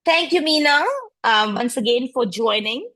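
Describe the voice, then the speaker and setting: High-voiced effusive woman host. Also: expressive, moderate pace, no echo. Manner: warm, effusive, admits nervousness. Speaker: podcast host. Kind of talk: reading an introduction, then chatting.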